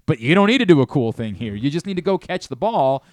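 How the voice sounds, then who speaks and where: A man's voice: fairly high pitch, sing-song, quick pace, a little mellow, dry recording. Emphatic man talking sports, close dry sound. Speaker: sports radio host. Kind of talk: sports talk commentary. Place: radio studio.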